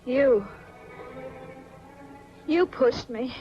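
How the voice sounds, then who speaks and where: An actress playing a drowsy, uneasy young niece in 1940s film style, with a languid, hesitant delivery. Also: female voice, high-pitched, animated, very slow, faint music behind, heavily acted. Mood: frightened, pleading. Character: drowsy, frightened young niece trapped with a killer. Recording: dull 1940s film soundtrack from VHS. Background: prominent film score.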